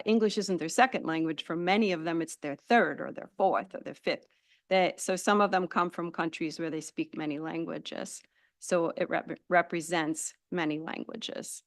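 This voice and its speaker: Woman teacher, lowish voice, enthusiastic informal presentation, dry close sound. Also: dry recording. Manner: warm, enthusiastic, informal explaining. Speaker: adult education presenter and teacher. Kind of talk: board meeting presentation. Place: school board meeting room.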